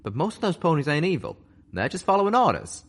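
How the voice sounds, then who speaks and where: A man voicing a stern, folksy male pegasus scout with a rough drawling way of talking. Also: a little high, huge pitch swings, quick pace, voice pushed up, exaggerated voice.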